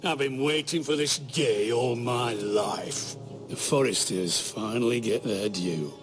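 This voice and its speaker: An actor playing an older, hateful rival lord in a fantasy game drama, taunting and contemptuous. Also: male voice, medium pitch, takes it slowly, music in the background, lightly acted. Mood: gloating scorn. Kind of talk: dramatic video game acting.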